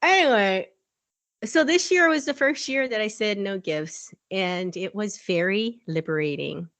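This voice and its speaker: A woman's voice: medium pitch, animated, measured pace, a bit bright, no room sound. A woman co-hosting a podcast in casual chat, rambling and laughing often as she tells stories. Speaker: podcast co-host.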